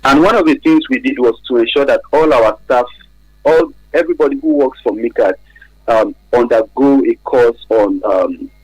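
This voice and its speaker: A man with a Nigerian accent and a husky voice, giving explanatory advice as a radio interview guest, pausing hesitantly and often checking with "right?". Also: mid-range voice, a little mellow, no room sound, poor audio. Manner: hesitant, frequent pauses and repeated "right?" tags. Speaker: guest expert from an advocacy organization. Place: radio studio.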